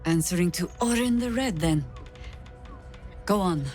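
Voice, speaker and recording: An actress playing a stern, weary veteran woman commander in a video game, speaking in a measured, grave and authoritative way. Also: lowish voice, expressive, a little mellow, faint music behind. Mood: stern, probing. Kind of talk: dramatic video game acting.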